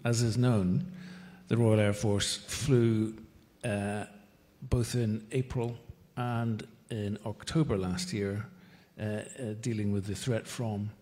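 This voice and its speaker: Panel speaker: Northern Irish man, lowish voice, measured delivery, long hall reverb. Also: steady tone, warm tone. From Northern Ireland. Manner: measured, deliberate, with occasional repeated words. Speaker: British diplomat on a panel. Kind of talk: formal panel discussion.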